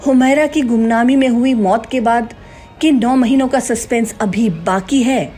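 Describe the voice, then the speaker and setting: A woman's voice: a little high, a little slow, mellow. A woman reading a scripted, dramatic news narration. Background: background music.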